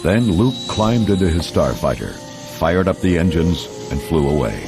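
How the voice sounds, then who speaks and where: Very deep-voiced man narrating a storybook. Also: very animated, normal speed. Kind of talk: scripted dramatic children's readalong narration. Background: orchestral music.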